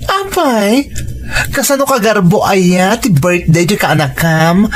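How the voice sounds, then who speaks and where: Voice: medium pitch, lively intonation, a little slow, slight background noise, close to an everyday voice. A performer playing a concerned, nosy friend with a Filipino Ilocano accent in audio drama dialogue. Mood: curious, concerned. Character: concerned, nosy friend who calls everyone sister.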